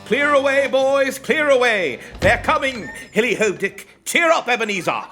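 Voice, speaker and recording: Hearty jolly old boss in a radio play, high voice, faint music behind. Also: male voice, sing-song, moderate pace, pushed and bright, exaggerated voice. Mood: bustling. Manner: hearty, exclaiming, avuncular. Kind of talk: theatrical radio play acting.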